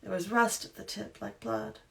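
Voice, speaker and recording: A woman in her thirties with a low voice, an author reading her own novel aloud at home into a webcam, hushed and whispery when she reads, chatty with fillers when she talks. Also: flat delivery, normal speed, creaky. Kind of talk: storybook reading then casual chat. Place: dim room at home.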